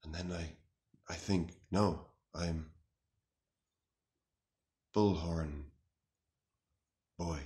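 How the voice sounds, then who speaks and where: A man in his thirties playing an anxious, earnest, melodramatic self-styled warrior in a halting, mock-serious monologue to camera. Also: deep voice, steady tone, mellow. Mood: grave, bewildered. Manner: halting, grave, deliberate delivery with long pauses. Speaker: comic video responder. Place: small room indoors.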